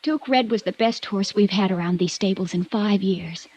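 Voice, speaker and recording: An actress playing a spoiled, pouting rich young woman in a 1950s radio drama, coy and teasing but quick to get defensive. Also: medium pitch, darkish tone, lightly acted. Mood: upset. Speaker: rich horse owner's daughter. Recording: dull dry vintage radio recording.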